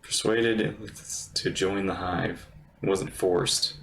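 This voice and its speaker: A woman's voice: very deep voice, steady tone, slow pace, a little hiss or hum, low-quality recording. A woman streamer giving casual live game commentary in a hesitant, musing way.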